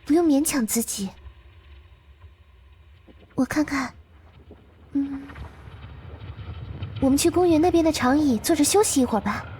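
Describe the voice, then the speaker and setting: High-voiced, gentle game girl. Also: a little slow, long pauses, a little hiss or hum. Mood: gentle concern. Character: gentle, playful young girl companion in a surreal game. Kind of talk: visual novel game voice acting.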